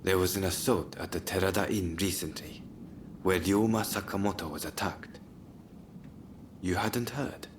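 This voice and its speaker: A man's voice: low-pitched, background noise. A man playing a stern, watchful samurai captain in a game, terse and controlled in his speech. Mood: quiet, serious. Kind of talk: English game voice acting, cutscene dialogue.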